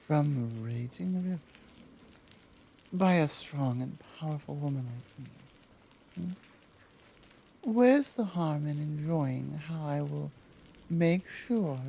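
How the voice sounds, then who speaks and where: A woman playing a tall, powerful, protective Amazon in an intimate ASMR roleplay, talking softly and teasingly with halting pauses. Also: deep voice, vocal fry, heavily acted. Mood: teasing, flirtatious.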